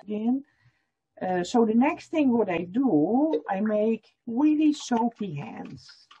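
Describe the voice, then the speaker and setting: Patient woman demonstrating a craft on a video call; slow, expressive delivery, dull audio. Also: on the high side, slightly rough. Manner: explains step by step, repeating lines in German. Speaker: felting teacher. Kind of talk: online workshop demonstration.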